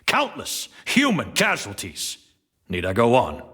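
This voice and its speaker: An actor playing a stern, accusing high-ranking official in a video game cutscene. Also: male voice, huge pitch swings, a little slow, bright, forceful tone, husky, heavily acted.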